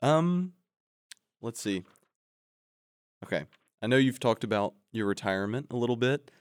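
A man's voice: medium pitch, animated, unhurried, clear, resonant tone, no echo. A young man co-hosting a podcast from a studio, asking questions in a casual interview style. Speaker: podcast co-host. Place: recording studio.

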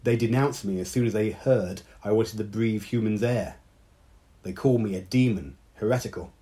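A man voicing an anxious, excitable young female mermaid in a storybook reading. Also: lowish voice, normal speed, natural voice. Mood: sad. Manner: emotional, pleading.

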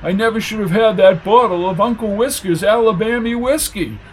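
Guy putting on a cowardly dim old man for an audiobook, shaky high voice, noisy recording. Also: moderate pace, lightly acted. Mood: evasive, whining. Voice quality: quivering. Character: nervous, evasive older man, cowardly and dim.

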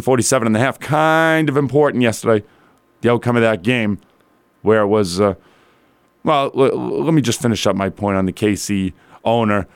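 A man with a slightly rough, strained voice hosting a sports talk radio show in a casual, rambling, conversational way. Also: mid-range voice, no echo. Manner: colloquial, rambling, conversational asides.

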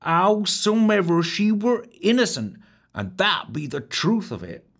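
A nervous young barmaid with a burr and rustic speech, as a man puts her on in a high falsetto. Her voice swoops up and down as she frets, and she speaks slowly and carefully. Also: sing-song, exaggerated voice. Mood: fearful.

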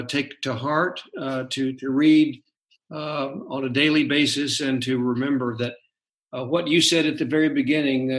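Halting man host in an echoey room. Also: medium pitch. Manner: halting, many uh fillers. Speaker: interview host. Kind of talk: interview.

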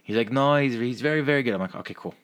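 A man's voice: medium pitch, racing. A man co-hosting a sports podcast, chatting casually and telling stories in a profane way, acting out the dialogue as he goes. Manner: profane, animated storyteller who acts out dialogue. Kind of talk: casual chat, storytelling.

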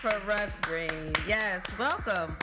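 A woman's voice: mid-range voice, slow pace, very noisy. A woman with a Chicago accent hosting a podcast, casual and playful, laughing often. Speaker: podcast host. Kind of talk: casual chat.

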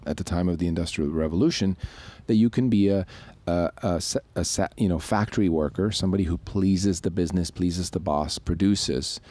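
A man from Switzerland co-hosts a podcast with a very low voice. He explains his ideas loosely and reflectively, often restarting sentences. The sound is close and dry. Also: fairly quick, hardly any pauses, dark tone, no room sound. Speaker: podcast co-host. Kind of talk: casual podcast conversation.

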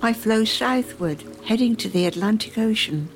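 A woman's voice: fairly high pitch, animated, moderate pace, faint music behind. A woman acting in a radio play as an earnest, proud local campaigner. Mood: poetic, measured. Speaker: community theatre actor.

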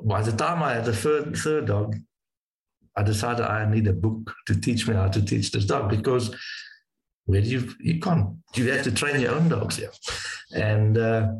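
Low-voiced South African man with a halting, echoey delivery. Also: darkish tone. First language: Afrikaans. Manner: halting, repeats words, restarts often. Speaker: wingshooting guide. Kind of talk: casual interview storytelling.

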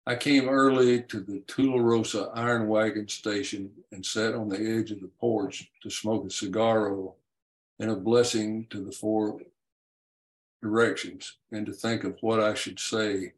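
Low-voiced man reading a story aloud. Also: low-quality recording. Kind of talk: narrated first-person storytelling.

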